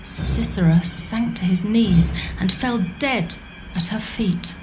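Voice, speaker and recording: A woman doing a slow, dramatic song of victory. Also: mid-range voice, over music, close to an everyday voice, poor audio. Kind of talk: dramatised scripture reading.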